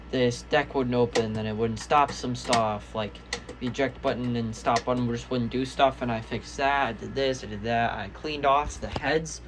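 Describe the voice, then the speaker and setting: A man with an average-pitched voice talks through a casual show-and-tell for his viewers. He rambles, stops and restarts, often correcting himself mid-sentence. The recording is plainly noisy, with the ambient sound of an indoor room. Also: fairly bright tone. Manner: rambling, halting, self-correcting. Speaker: hobbyist video maker. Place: indoors, room with audio gear.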